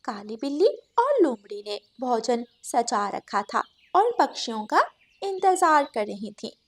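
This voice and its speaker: A woman's voice: fairly high pitch, big pitch swings, measured pace. A woman narrating a scripted children's cartoon story.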